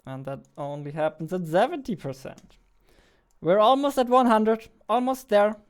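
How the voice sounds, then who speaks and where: A man's voice: sits high, moderate pace, clear, resonant tone. A man in his twenties chatting casually on a live stream into a close studio mic, informal and self-deprecating. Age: 20s. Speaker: game developer live-streamer. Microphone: close studio mic on boom arm.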